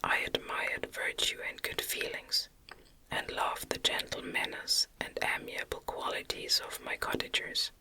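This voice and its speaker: A woman whispering a gothic novel as an ASMR reading, gently and deliberately, with soft articulation. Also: poor audio. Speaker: ASMR reader.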